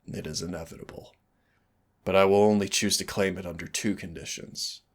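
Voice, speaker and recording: A man voicing a gentle, affectionate demon prince in an intimate roleplay audio, husky and gravelly, speaking tenderly and without hurry. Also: low voice, fairly even intonation. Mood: solemn. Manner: tender, unhurried, wistful storytelling. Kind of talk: intimate roleplay audio acting, soft and soothing.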